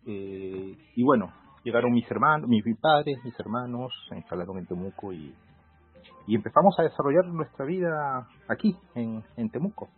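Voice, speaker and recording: A man's voice: mid-range voice, animated, husky, faint music behind. A man in his forties from Peru with a Peruvian accent, talking reflectively as a law professor guest in an interview. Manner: measured, reflective, many fillers. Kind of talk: casual interview. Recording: phone-like call audio.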